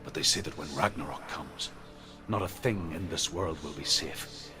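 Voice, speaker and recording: An actor playing a solemn teller of Norse doom myths in a video game, speaking with grave foreboding. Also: male voice, very deep voice, moderate pace. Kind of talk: dramatic game storytelling. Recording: clear ambient noise behind.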